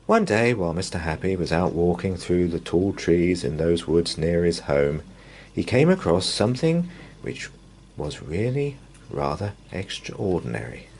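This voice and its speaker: A man reading a bedtime story aloud to young children as a storyteller, with measured, drawn-out, expressive phrasing. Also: sits low, slight background noise.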